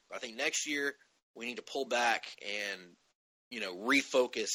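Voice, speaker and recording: A man's voice: lowish voice, sharp tone, husky, no echo, rough recording. A man hosting a podcast, casually discussing comic-book television shows. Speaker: podcast host.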